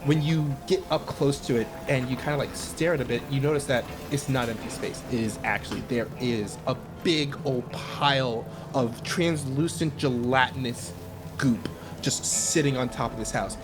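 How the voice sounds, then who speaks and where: A man acts as narrator for a tabletop game, describing scenes off the cuff. His phrasing is halting and informal, and music plays clearly behind him. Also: medium pitch.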